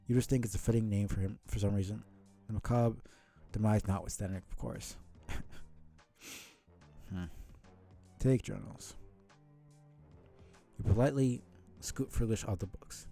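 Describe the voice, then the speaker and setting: A man streaming a casual read-aloud of a comic, with halting, muttered asides. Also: on the low side, warm tone. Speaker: streamer reading a webcomic aloud.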